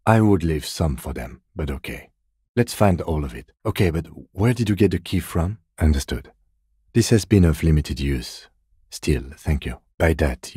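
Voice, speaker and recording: An actor playing a weary, dry, by-the-book police detective in a video game, speaking in a measured, restrained and formal deadpan. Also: male voice, a bass voice, husky, no echo, heavily acted.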